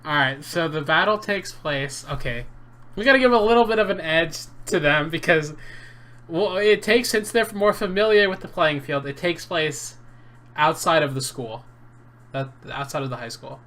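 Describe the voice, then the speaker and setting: A man's voice: on the high side, moderate pace, bright, forceful tone. A young man hosting a casual, joking anime debate, rambling and restarting as he talks. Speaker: channel host.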